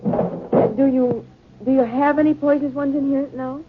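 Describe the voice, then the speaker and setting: An actress playing a timid, squeamish wife in a 1950s radio drama, anxious and pleading. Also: female voice, high voice, level tone, normal speed, background noise, lightly acted. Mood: frightened, disgusted. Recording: tinny, lo-fi 1950 radio recording.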